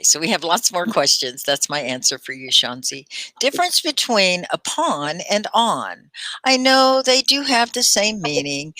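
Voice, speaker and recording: A woman's voice: fairly low pitch, sharp tone, dry recording. A middle-aged woman from Texas hosting a live online English lesson, explaining with animation and laughing often. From Texas, USA. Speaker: ESL teacher and show host.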